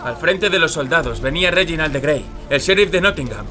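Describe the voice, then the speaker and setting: Man acting lively outlaw Little John over music. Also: a little high, a little fast, close to an everyday voice. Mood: grave, deferential. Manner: theatrical, archaic courtly phrasing. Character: Little John, brash, teasing, loyal male outlaw. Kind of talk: Spanish audio drama acting. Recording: dramatic music behind the voice.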